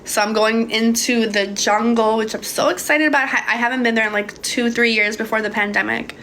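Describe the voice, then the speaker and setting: Young woman from hungary, slightly high voice, casual livestream coach, swears, calls people babe, phone mic, faint noise. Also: steady tone, brisk, fairly bright tone. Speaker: manifestation coach. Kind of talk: casual livestream Q&A, reading viewer comments.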